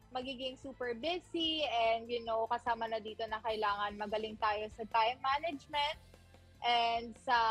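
A woman's voice: fairly high pitch, a bit bright, rough recording. A young woman interviewed as a new courtside reporter over a video call, friendly and a bit hesitant, with fillers. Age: young adult. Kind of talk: video-call interview, mixing English and Filipino.

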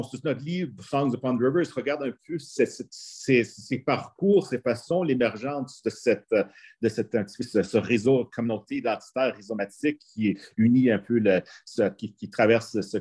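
Rambling Franco-Albertan professor on a call. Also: male voice, mid-range voice, darkish tone, breathy edge. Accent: Franco-Albertan French. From northern Alberta, Canada. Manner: rambling, many restarts and fillers, storytelling. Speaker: anthropology professor, panel guest. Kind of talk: video call panel discussion. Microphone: video call.

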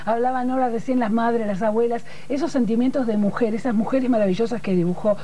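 A woman's voice: on the high side, fairly even intonation, fast, warm tone, a little hiss or hum. A middle-aged Argentine woman hosting a studio panel, eagerly prompting her guests with questions. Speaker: television talk show host. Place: television studio.

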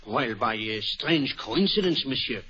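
An actor playing a fussy, clever Belgian detective with a French accent, in theatrical 1940s radio acting. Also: male voice, medium pitch, a little slow, husky, heavily acted. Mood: probing. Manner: theatrical, lively, coaxing and ironic. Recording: dull old radio broadcast.

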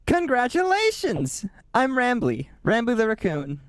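A man voicing a cheerful cartoon raccoon mascot in an announcer style, with robotic pitch-tuned processing. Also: wildly swooping pitch, a little slow, dry recording, clean studio sound, exaggerated voice. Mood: bubbly, upbeat. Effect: pitch correction, robotic stepped tuning. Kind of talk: announcer spiel.

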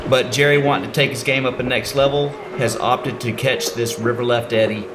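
A man's voice: mid-range voice, little pitch movement, brisk, noisy background. An American man giving a casual, explanatory voice-over for a kayaking vlog, informal and instructive.

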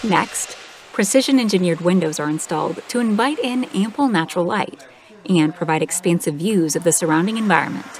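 A woman reading a scripted documentary voice-over in a smooth, measured, polished way. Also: on the low side, a little hiss or hum. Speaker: documentary narrator. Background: background music.

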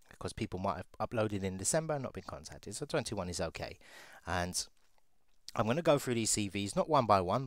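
A young man, a recruiter, casually talking through a software demo and thinking aloud as he clicks. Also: on the low side, no room sound, studio quality. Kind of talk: screen-share software walkthrough.

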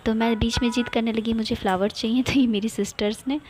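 A woman's voice: on the high side, steady tone, fast talker, a little mellow. A young woman from India narrating a casual travel vlog, cheerful and chatty, pointing things out to her viewers. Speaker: vlogger.

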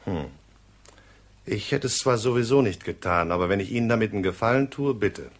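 An actor playing a curt, authoritative police lieutenant in a crime radio drama. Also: male voice, fairly low pitch, a little fast, natural voice. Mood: curt, sardonic. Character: brusque, curt male police lieutenant, grudgingly obliging.

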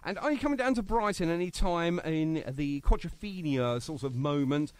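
A man's voice: fairly high pitch, animated, normal speed, darkish tone, no room sound. A man hosting a radio show with a British accent, chatting casually and jokily with a guest, rambling with lots of fillers. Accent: British English. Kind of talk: casual radio interview chat.

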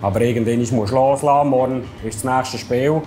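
Schweizer Mann mit Schweizerdeutschem Akzent. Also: male voice, medium pitch, steady tone, fairly quick, dark tone, a little hiss or hum. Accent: Swiss German. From Switzerland. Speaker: ice hockey referee. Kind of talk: sponsored video voice-over and interview.

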